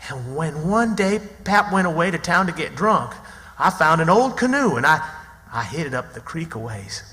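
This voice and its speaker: A man's voice: on the high side, big pitch swings, moderate pace, sharp tone. An actor playing a plucky, plain-spoken backwoods boy in his early teens, with a rural Southern American accent and folksy grammar, in radio drama style. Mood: sly, confiding. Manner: folksy, ungrammatical storytelling. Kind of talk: theatrical radio drama acting and narration. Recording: long hall reverb.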